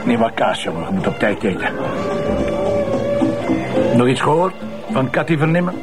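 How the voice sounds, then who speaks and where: An actor playing a sardonic, bossy police commissioner with a Flemish accent, in a radio drama with music underneath. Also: male voice, medium pitch, big pitch swings, normal speed, close to an everyday voice. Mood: wry. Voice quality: gravelly, teasing, bossy. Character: gruff sardonic male police commissioner, middle-aged. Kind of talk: Belgian radio/TV drama acting.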